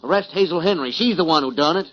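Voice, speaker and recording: An actor playing a surly, hot-tempered rodeo cowboy with an American Western accent in 1940s radio drama. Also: male voice, a little high, quick pace, natural voice. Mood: indignant. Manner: folksy grammar, combative. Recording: muffled lo-fi 1940s radio broadcast.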